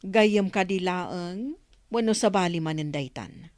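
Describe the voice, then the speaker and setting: A woman's voice: fairly low pitch, measured pace, darkish tone, dry recording, studio quality, natural voice. An actress playing a worried, scolding mother in a radio drama. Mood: reproachful. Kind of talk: Ilocano radio drama acting.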